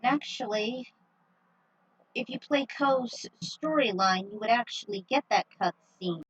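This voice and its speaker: A woman's voice: a little high, unhurried, dull audio. A woman hosting with casual commentary and reading a story she has written out. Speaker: host and fan fiction reader.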